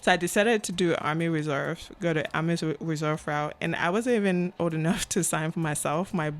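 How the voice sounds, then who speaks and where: A woman from Cameroon in her twenties, a podcast guest talking casually and reflectively, often checking in with "right?" and pausing on "um". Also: low voice. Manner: reflective, frequent "right?" and "um" fillers. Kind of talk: casual interview chat.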